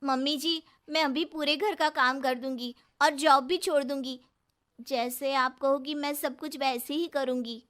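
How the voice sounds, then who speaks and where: A high young female voice put on by a woman narrator for a cartoon sister-in-law. She is earnest and anxious, speaking up with a pleading edge. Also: steady tone, a little slow, fairly bright tone. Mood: timid, eager. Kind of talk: Hindi cartoon moral story.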